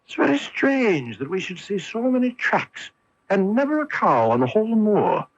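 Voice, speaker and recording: A man playing a keen, confident, amused master detective in a theatrical radio mystery style, with a raspy voice. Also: sits high, very animated, normal speed, full character voice. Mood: probing. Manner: theatrical, emphatic deductive delivery. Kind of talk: 1980s radio mystery acting. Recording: dull 1980s AM radio broadcast.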